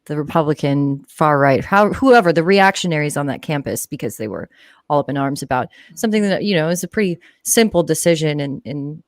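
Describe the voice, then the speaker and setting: Female stream co-commentator, lower register, casual, sardonic and profane, dry recording. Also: lively intonation, a little fast, no room sound. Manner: blunt, sardonic, sweary. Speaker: guest co-commentator on a live stream.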